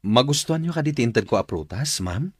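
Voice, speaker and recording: A man's voice: medium pitch, animated, fairly quick, breathy edge, no room sound, clean studio sound. A man with an Ilocano accent playing a shy, smitten young fruit seller and respectful son in a radio drama. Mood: shy, hesitant.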